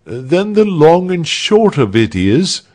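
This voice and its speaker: A man's voice: fairly high pitch, big pitch swings, slow, darkish tone, pitched above the performer's own voice, heavily acted. A man voicing a firm, rousing leader of a revolt in a storybook, emphatic and declamatory.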